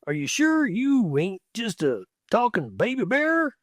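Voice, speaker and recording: Shrill, mocking old mountain lion. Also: male voice, wildly swooping pitch, measured pace, no echo, clean studio sound, full character voice. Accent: rural Southern US. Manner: mocking, loud, folksy drawl. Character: mean, teasing old mountain lion, folksy bully.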